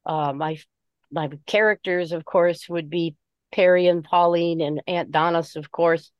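Woman in a book club chat, lowish voice, dry sound, kinda halting and picking her words. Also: fairly even intonation, clean tone, dry recording, highs cut off. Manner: halting, deliberate, searching for words. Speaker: book group member. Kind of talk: informal book discussion, giving opinions.

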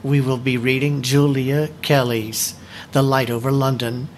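A man co-hosting a book discussion, explaining things to the group in a measured, informal presenting manner. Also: mid-range voice, flat delivery, a little hiss or hum.

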